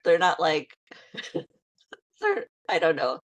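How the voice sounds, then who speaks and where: American lady hosting a video call chat, breathy, slow, laughs a lot. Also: deep voice, no room sound. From United States. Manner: giggly, lively, easygoing. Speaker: interviewer. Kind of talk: casual video call interview.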